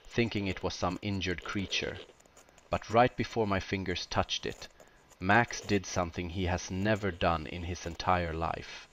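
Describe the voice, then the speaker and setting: Slow, deep-voiced man narrating horror. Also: background noise. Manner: deliberate short phrases with dramatic pauses. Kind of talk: scripted first-person horror narration.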